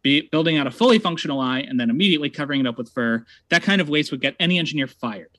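A man's voice: a little high, expressive, racing, bright, forceful tone, dry recording. A man making a prepared debate argument over a video call, emphatic and wry.

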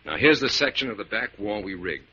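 An actor playing a gruff, bossy bank robber ringleader in a slangy 1940s radio crime drama. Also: male voice, on the low side, expressive, brisk, bright, forceful tone. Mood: brisk, scheming. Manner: gruff, commanding, slangy tough-guy talk. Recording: scratchy, dull 1940s radio recording.

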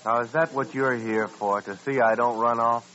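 An actor playing a gruff, impatient rancher in a radio western, blustering and belittling as he talks. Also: medium pitch, steady tone, normal speed, pushed and bright, close to an everyday voice. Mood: scornful. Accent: American. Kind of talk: 1950s radio western acting. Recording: dull, lo-fi old radio recording.

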